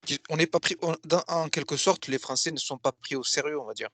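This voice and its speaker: A man's voice: fairly high pitch, a little fast, a bit bright, no room sound. A man as the interviewer, casually asking questions and summing up his guest's points. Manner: agreeable, asks questions and sums up points.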